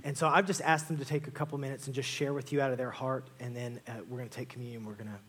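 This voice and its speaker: A man's voice: medium pitch, level tone, very fast, a bit bright, breathy edge. A man, a pastor giving casual, upbeat church announcements to his congregation. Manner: casual and upbeat, with frequent fillers. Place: church sanctuary.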